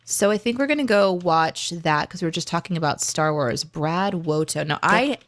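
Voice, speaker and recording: A woman's voice: on the low side, lively intonation, normal speed. A woman co-hosting a live show, chatting casually in an encouraging, chatty way. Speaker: co-host of a live stream and podcast. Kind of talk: casual livestream chat.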